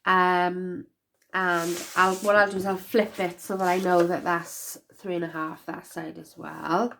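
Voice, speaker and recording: A woman's voice: fairly low pitch, level tone, unhurried, vocal fry, background noise. Chatty woman, nasal from a cold. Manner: chatty, rambling with many fillers. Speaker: crafter demonstrating box making. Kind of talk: casual craft-along chat.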